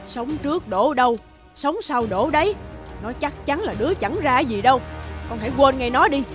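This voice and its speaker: An elderly village mother, stubborn and full of feeling. She speaks dramatically, as in a cartoon folk tale, over loud music. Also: high voice, animated, normal speed. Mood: stern, angry. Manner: dramatic, emotional delivery. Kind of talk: animated folk-tale dubbing.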